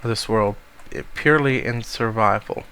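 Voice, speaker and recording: A man with a cold giving a casual, rambling tour of a game world, speaking softly to spare his voice. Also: lowish voice, moderate pace, a little hiss or hum. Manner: rambling, many ums, soft-spoken to spare his voice. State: has a cold. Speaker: gamer giving a server tour.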